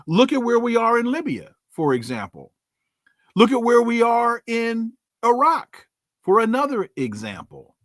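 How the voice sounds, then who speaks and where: A man's voice: extremely high pitch, wildly swooping pitch, a little slow, fairly bright tone, dry recording, studio quality. A man hosting a podcast, giving emphatic commentary with rhetorical questions and folksy sayings before bringing on his guest. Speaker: podcast host. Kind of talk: news commentary and interview opening.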